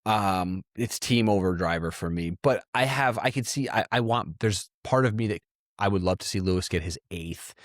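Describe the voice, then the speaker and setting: A man with a very low voice, whose first language is Italian, chats casually. He talks in an animated way, often breaking off and restarting his sentences. The sound is close and dry. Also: dry recording. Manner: animated, thinks aloud with restarts. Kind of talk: casual chat.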